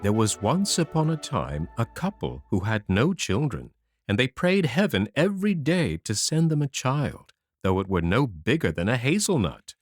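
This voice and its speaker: A man narrating a children's fairy tale audiobook in an expressive, animated storytelling manner. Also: medium pitch, normal speed, no echo, faint music behind.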